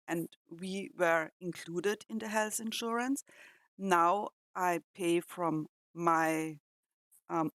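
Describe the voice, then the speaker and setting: A woman from Austria with an Austrian German accent and German as her first language, talking haltingly as a reaction host and pausing to search for words. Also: on the low side, a bit bright, no echo. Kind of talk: casual reflective commentary.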